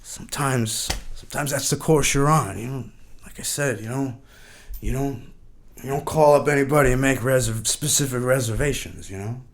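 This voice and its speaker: A man's voice: medium pitch, normal speed, lightly acted. An actor playing a washed-up eighties rock frontman, rambling and repeating himself nostalgically and grandly in a mock podcast interview. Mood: defiant, musing.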